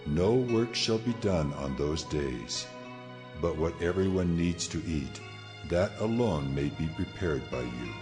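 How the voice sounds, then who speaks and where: Almighty God, very low voice, solemn, authoritative, scripture drama, music behind. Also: male voice, lively intonation, a little slow. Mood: solemn, commanding. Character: God speaking laws, solemn commanding male deity.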